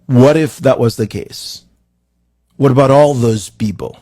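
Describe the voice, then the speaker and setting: A man from Haiti with a Haitian accent, giving a live-streamed motivational talk to viewers, building his lines with slow, preacherly emphasis. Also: mid-range voice, dark tone, no echo, studio quality. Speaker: motivational speaker.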